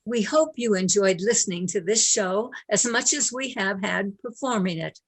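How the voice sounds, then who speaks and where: A woman's voice: medium pitch, moderate pace, breathy edge. A woman narrator reading the scripted closing credits of a radio play.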